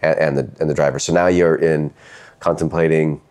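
A man with an extremely deep voice hosts a casual interview. He recaps events for his guest with many ums and restarts. His tone is conversational and unpolished. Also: fairly even intonation, fairly quick, a little mellow. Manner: hesitant, stumbles and restarts. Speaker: podcast host interviewer.

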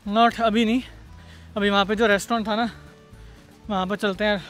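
A man in his thirties vlogs from a forest trail, talking into a phone held at arm's length. His voice sits high for a man, and he explains things in an easygoing, chatty way. Faint music plays underneath. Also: flat delivery, normal speed, fairly bright tone. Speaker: travel vlogger. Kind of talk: casual travel vlog narration. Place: outdoors on a forest canyon trail. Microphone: handheld phone at arm's length.

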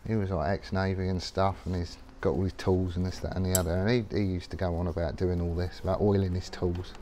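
A man's voice: low-pitched, level tone, brisk, mellow, slight background noise. A middle-aged man casually explaining his tool care while working in a garage workshop, rambling and matter-of-fact with many ums. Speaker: workshop vlogger.

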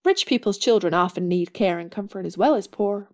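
An actress playing a warm, plucky, idealistic young woman in an audio drama, earnest and plain-spoken. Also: medium pitch, animated, fairly quick, lightly acted. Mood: excited, determined.

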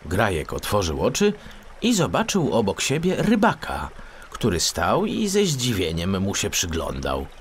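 A male audiobook narrator tells a story for children. His low voice moves through lively rises and falls, with faint background noise. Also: a little slow.

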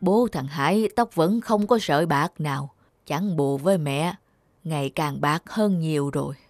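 Unhurried elderly grandmother over music. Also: low-pitched, a little mellow. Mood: bashful, reluctant. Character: elderly rural grandmother, bashful, indulgent and gentle. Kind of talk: cartoon voice acting.

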